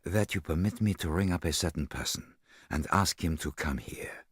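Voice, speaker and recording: Brief: male reader doing a hushed, precise, formal sleuth, slow and low, dry close sound. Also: breathy edge, no room sound. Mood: measured. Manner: formal, measured, quietly self-satisfied. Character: fastidious older male detective, soft-spoken and precise. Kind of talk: audiobook character voice.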